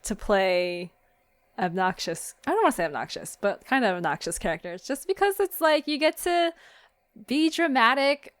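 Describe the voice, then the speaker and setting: A woman's voice: fairly high pitch, very animated, moderate pace, clear, resonant tone. A woman hosting a podcast in a casual, easygoing chat. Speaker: podcast host.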